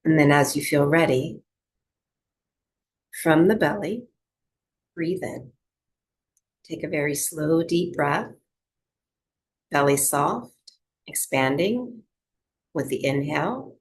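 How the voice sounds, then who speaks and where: Slow, low-voiced woman guiding relaxation. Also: animated. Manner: soothing, gentle, unhurried instruction with long pauses. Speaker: yoga and breathwork teacher. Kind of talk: guided relaxation meditation.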